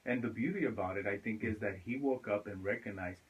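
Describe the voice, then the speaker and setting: A man's voice: lowish voice, fast talker, husky, narrow, tinny audio. A young man co-hosting a podcast, speaking in an earnest, reflective way. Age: young adult. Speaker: podcast co-host. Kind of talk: casual podcast chat.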